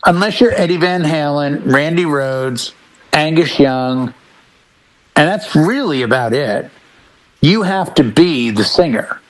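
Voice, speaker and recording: A man with a slightly high-pitched voice talks casually, like a panelist hanging out on a show. He explains things emphatically, making his points through little anecdotes. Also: expressive, slow, poor audio. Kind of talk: casual chat about rock bands.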